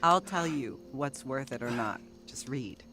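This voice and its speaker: A woman playing a feisty, teasing, hard-drinking 1930s wife in an audio drama, sassy and quick to needle. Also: extremely low pitch, expressive, music in the background.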